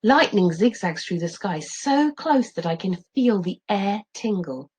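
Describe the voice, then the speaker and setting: A middle-aged woman reads a children's story as a teacher would, with very expressive, varied intonation. Her voice has a slightly husky edge and a medium pitch. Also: unhurried. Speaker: teacher reading aloud.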